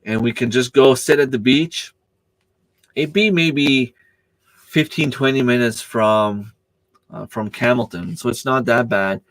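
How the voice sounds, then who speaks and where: A man whose first language is French hosts a relaxed live stream chat. He talks in a middling voice into a dry, close-sounding setup, pausing often with fillers as he hunts for the right words. He answers his viewers' comments one by one. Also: mid-range voice, dry recording, rough recording. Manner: hesitant, searching for words with many fillers and restarts.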